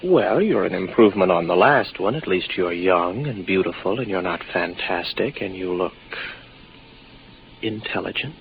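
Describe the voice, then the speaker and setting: A low, gravelly space officer, isolated and tired of his own hallucinations. He speaks with wry, deliberate weariness in the manner of 1950s radio drama, on a dull old broadcast recording with faint noise. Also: expressive. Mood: wry, guarded.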